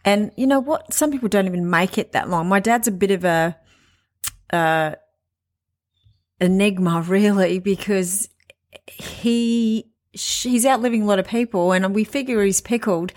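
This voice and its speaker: A woman in her late forties speaks candidly into a podcast mic, her voice in the middle of the usual range. She talks in a loose, conversational flow, pausing and restarting as she goes. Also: mid-range voice, a little mellow. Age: 40s. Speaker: podcast host. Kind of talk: personal monologue.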